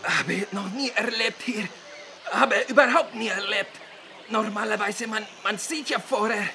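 Anxious ship captain, really high voice, broken stammery sentences, noisy ambience, kids audio play. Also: male voice, creaky, sharp tone, exaggerated voice. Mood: anxious, flustered. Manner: halting, stammering, fragmented broken grammar. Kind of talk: children's audio drama acting.

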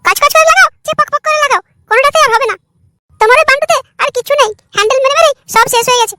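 A man voicing a shrill young wife in a comedy cartoon, scornful, nagging and sarcastic. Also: rapid-fire, sharp tone, slight background noise, exaggerated voice. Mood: mocking. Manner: mocking, complaining.

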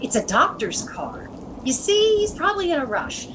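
A woman's voice: high voice, wildly swooping pitch, normal speed, background noise. A woman playing a harried, chummy carhop who pleads in slangy old-time radio drama style. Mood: pleading. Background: rain.

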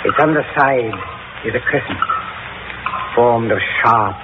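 An actor playing a cold, spectral inquisitor friar, speaking in a measured, ominous, formal way in 1940s radio horror style. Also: male voice, mid-range voice, close to an everyday voice. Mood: ominous gloating. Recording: noisy, tinny vintage radio broadcast.

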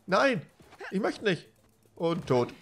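A man's voice: sits high, big pitch swings, takes it slowly, vocal fry, slight background noise. A German man with a cold, chatting casually while commenting on gameplay, rambling and jokey. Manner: chatty, rambling, gets loud and jokey when panicking. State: has a cold. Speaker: let's play commentator.

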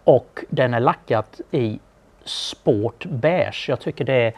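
A middle-aged Swedish man presenting a car in a relaxed, knowledgeable studio chat, with frequent fillers. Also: mid-range voice, husky. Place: small studio with a brick wall.

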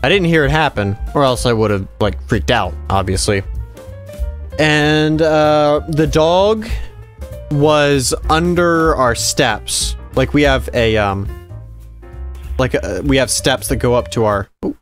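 A man in his twenties doing casual gameplay commentary and telling personal stories in an animated, rambling, conversational way. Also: mid-range voice, takes it slowly, faint music behind. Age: 20s. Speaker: gaming video host. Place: small dim room at home, indoors.

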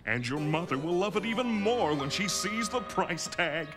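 A man's voice: sits high, expressive, moderate pace, music in the background. A man voicing a pompous, wealthy middle-aged father in a children's cartoon, grandly showing off. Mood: proud. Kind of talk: animated children's cartoon acting.

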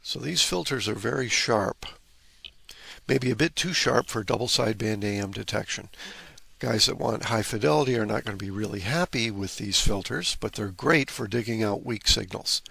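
Man, gravelly husky voice, casual technical explainer, halting delivery, repair video host. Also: on the low side, sharp tone, no echo.

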